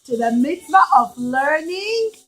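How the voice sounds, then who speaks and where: A woman plays a talking fig tree in a puppet show, happy and proud to be special. The voice is high, slow and joyfully emphatic. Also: sing-song, exaggerated voice.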